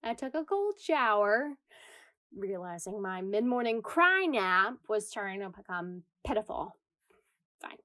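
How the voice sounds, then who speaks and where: A woman's voice: mid-range voice, sing-song, a little slow, sharp tone. An actress playing an anxious, self-deprecating woman in existential crisis, narrating a confessional radio comedy monologue with wry, dramatic delivery. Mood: self-mocking.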